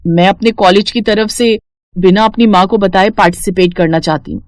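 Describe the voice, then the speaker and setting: A woman's voice: medium pitch, steady tone, normal speed, a little mellow, dry recording, natural voice. Woman voicing an eager, gushing young college girl. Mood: earnest. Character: excitable, grateful young college girl, sister-in-law. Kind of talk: animated storybook cartoon dialogue.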